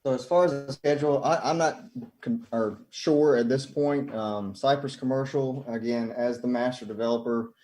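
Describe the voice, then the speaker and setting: A man giving an off-the-cuff technical explanation as a government official, measured and bureaucratic. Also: mid-range voice, a little mellow. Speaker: transportation department official.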